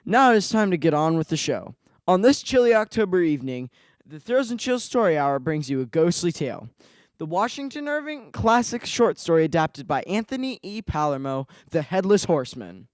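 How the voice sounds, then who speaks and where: Young male radio host: animated scripted announcing, dry studio-like sound. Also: fairly high pitch, normal speed, dry recording. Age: young adolescent, middle school student.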